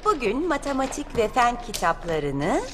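Woman playing a lively, self-assured schoolgirl. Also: a little high, normal speed, noisy background. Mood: polite, efficient. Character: poised, ambitious teenage schoolgirl assistant turned scheming rival. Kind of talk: amateur fan dub acting.

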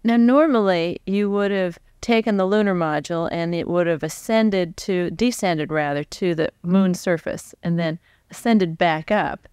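A woman's voice: on the low side, unhurried, darkish tone, clear, resonant tone, no room sound, clean studio sound. An American woman in her forties interviewing conversationally, reworking her questions as she goes. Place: seated on a stage before a live audience. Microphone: large microphone on stand close to mouth.

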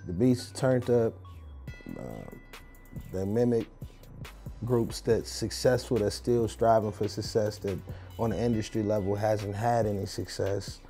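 Low, dark-voiced man, blunt. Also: vocal fry. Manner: blunt, opinionated. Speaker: producer and engineer. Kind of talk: documentary interview. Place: recording studio, indoors. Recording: music bed underneath.

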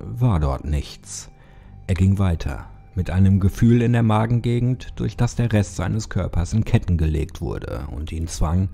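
A man narrates a horror audiobook in a low, gravelly voice with a husky edge. His delivery is brooding and suspenseful, with deliberate pauses, over music playing behind him. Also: warm tone. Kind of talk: scripted dramatic audiobook narration of a creepypasta.

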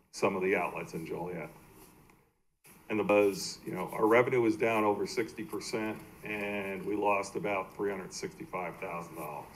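Male, American, lowish voice, halting plain-spoken presenter at a public meeting, slight background noise. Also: rough recording. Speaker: baseball team executive presenting to a city council. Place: indoor council meeting room.

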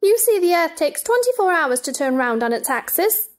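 A woman's voice: very high voice, very animated, normal speed. A woman playing a polite, puzzled, inquisitive young girl in a storybook play, earnest and questioning. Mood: curious, earnest.

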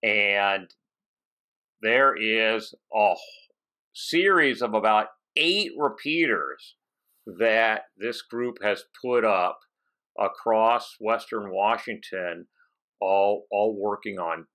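Bright-voiced low-pitched man, halting interviewer. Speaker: podcast host. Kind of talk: casual technical interview. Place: home office with bookshelves, on a video call.

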